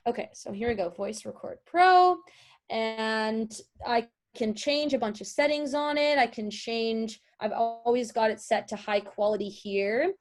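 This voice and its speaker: A woman's voice: fairly high pitch, a little slow. A woman giving an informal lesson as a voice-over artist, chatty and explanatory with emphatic points. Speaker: voice-over artist teaching a class. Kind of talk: informal instructional talk. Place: indoors, online video call.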